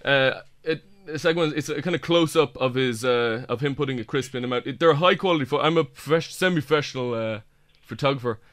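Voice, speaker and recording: A man's voice: medium pitch, a little fast, few pauses, fairly bright tone, no room sound, lightly acted. An Irish man playing an earnest, hapless amateur paparazzo, rambling hesitantly through a prank phone call. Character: earnest, hapless semi-professional paparazzo peddling photos. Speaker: prank caller.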